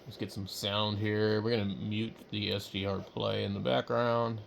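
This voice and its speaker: A man giving casual, unscripted commentary while working at a computer, speaking haltingly and trailing off as he thinks aloud. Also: lowish voice, level tone, gravelly, a little hiss or hum. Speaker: hobbyist host.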